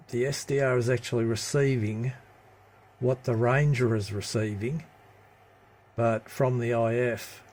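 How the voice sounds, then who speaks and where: A man giving a casual, hands-on CB radio hobby demonstration in a halting, matter-of-fact way, recorded on a handheld phone. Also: medium pitch, a little mellow. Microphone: handheld phone camera. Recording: noticeable background noise, handheld phone recording.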